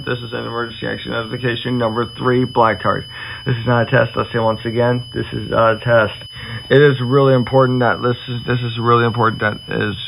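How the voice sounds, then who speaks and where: A man playing a grave presidential representative giving a halting, stumbling emergency address about doom, often repeating his phrases. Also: mid-range voice, gravelly, lightly acted. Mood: solemn, urgent. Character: grave male presidential representative announcing the world's end. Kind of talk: improvised emergency broadcast address. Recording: hum and faint background noise.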